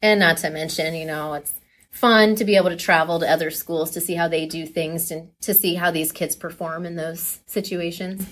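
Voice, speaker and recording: A woman speaking as a coach in a casual interview. Her voice sits a little low, and she sounds earnest and encouraging. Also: steady tone, normal speed, fairly bright tone. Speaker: teacher, forensics team coach.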